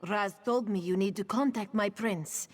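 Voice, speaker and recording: Woman doing serious guarded temple devotee lady from a fantasy rpg, formal n earnest, medium pitch. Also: moderate pace, darkish tone, lightly acted. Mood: wary, formal. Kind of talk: video game character dialogue.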